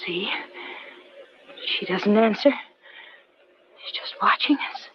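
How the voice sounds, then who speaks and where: A woman's voice: medium pitch, slow, whispered, lightly acted. An actress playing a suspicious, defiant old woman in a 1940s radio thriller. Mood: accusing, wary. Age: older. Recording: dull old radio recording.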